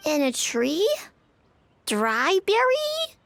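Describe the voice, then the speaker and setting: A woman voicing a small, innocent, simple-minded creature in a video game, squeaky and childlike, in halting broken phrases. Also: huge pitch swings, gravelly, a bit bright, slight background noise, heavily acted. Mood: puzzled. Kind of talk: video game character acting.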